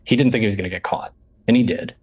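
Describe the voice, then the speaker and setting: A man pleading in court as a defense attorney, halting and deferential. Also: lowish voice, no echo. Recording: dull, lo-fi courtroom audio.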